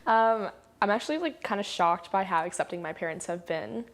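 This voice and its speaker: A woman's voice: mid-range voice, expressive, a little fast. A young woman from New Jersey in casual panel chat, chatty, with many fillers. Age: young adult. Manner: chatty, frequent "like" and "um". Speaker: student panelist.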